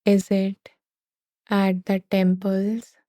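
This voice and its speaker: Brief: calm female meditation guide, unhurried, soft dark tone, dry recording. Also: medium pitch, dry recording. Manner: slow, soothing, gentle pauses.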